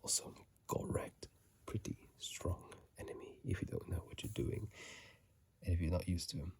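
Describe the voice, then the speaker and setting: A person whispering ASMR commentary about a video game in a husky, breathy, halting way, recorded on a phone microphone. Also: sits low, steady tone, a little mellow. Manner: hesitant, halting, repeats words. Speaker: host of an ASMR channel.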